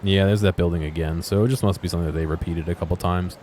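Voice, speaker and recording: A low-pitched man gives off-the-cuff commentary while exploring a video game. He thinks out loud in a casual, wondering way. There is some background noise of street-like ambience behind his voice. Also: fairly even intonation, quick pace. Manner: musing, thinking aloud. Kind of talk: casual unscripted gameplay commentary.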